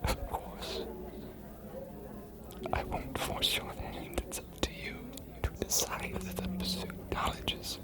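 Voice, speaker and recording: A performer playing a courtly, bookish ancient vampire mentor in an ASMR roleplay, speaking in a breathy whisper with a formal, confiding manner. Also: natural voice. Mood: intrigued, enticing. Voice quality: breathy whisper, almost no voicing. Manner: measured, formal, confiding, philosophical.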